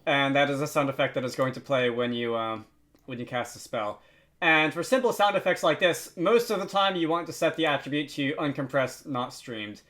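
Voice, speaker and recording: A man narrating a software tutorial in a casual, informal way, pausing often as he explains. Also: mid-range voice. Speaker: tutorial host.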